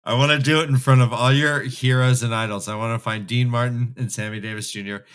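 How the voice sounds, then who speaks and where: A man's voice: medium pitch, steady tone, fast talker, a bit bright, clean tone. A man co-hosting a podcast, chatting casually and in a rambling, imaginative way about fantasy books. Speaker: co-host of a fantasy book re-read podcast. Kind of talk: casual book discussion.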